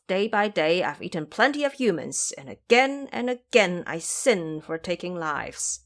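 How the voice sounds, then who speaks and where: A woman's voice: medium pitch, fairly bright tone, natural voice. A woman voicing a boastful, man-eating male river monster in an audio drama, grandiose and threatening in manner.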